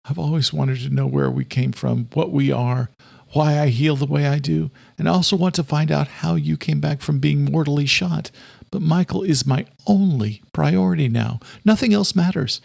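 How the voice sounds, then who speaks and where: A man's voice: fairly high pitch, moderate pace, gravelly, darkish tone. A man voicing an anxious, determined sister with earnest urgency in audiobook dialogue. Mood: urgent, determined. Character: anxious, determined young sister searching for answers.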